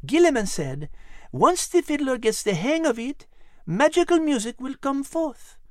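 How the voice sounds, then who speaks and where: A man voicing a refined, gentle female animal with a French accent in a children's storybook, speaking precisely and genteelly. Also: extremely high pitch, big pitch swings, measured pace, dry recording, clean studio sound, pitched above the performer's own voice, heavily acted. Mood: hushed anticipation. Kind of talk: children's storybook character reading.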